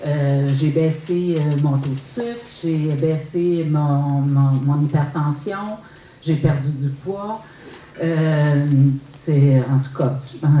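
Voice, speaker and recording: A woman's voice: sits low, warm tone, a little hiss or hum. Hesitant Québécois woman in her fifties. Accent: Québécois French. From Quebec. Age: 50s. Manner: hesitant, many fillers and restarts. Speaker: research study participant. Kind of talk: public testimony at a talk. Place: lecture hall. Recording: roomy and distant.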